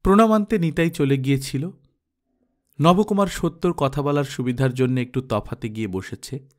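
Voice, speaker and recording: A man's voice: medium pitch, moderate pace. A man narrating a literary story as an audiobook reader, with dramatic scripted delivery.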